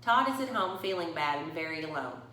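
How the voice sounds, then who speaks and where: A woman reading a storybook as a kind, patient female schoolteacher, gently explaining things to her class. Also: low-pitched, animated, a little fast, echoey room. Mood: sad, gentle.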